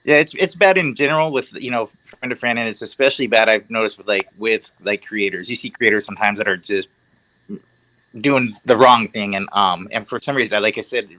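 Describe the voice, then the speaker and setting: A man's voice: on the low side, fairly quick, bright, forceful tone, dry recording, rough recording. A man speaking as a guest in a casual livestream chat, rambling and circling around his point.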